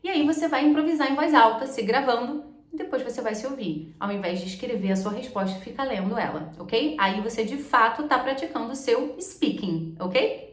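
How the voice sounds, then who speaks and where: A woman's voice: fairly high pitch, fairly quick. A woman with a Brazilian Portuguese accent explaining exam tips to the camera as an English teacher. She checks in with her listeners often. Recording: echoey room.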